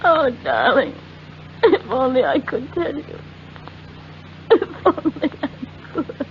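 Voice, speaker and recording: Secretive, anguished wife for old radio drama: high voice, slow hesitant pleading, dull hissy 1940s sound. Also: female voice, wildly swooping pitch, full character voice. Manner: hesitant, halting, melodramatic. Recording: muffled, noisy 1940s radio recording.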